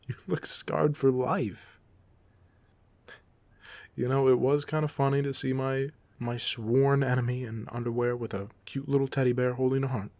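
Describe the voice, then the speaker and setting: A man playing a goofy, soft-hearted supervillain off duty in an audio roleplay, talking casually with teasing, self-deprecating humor. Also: mid-range voice, little pitch movement, moderate pace, dry recording, natural voice. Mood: teasing, amused. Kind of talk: ASMR roleplay monologue, casual conversational acting.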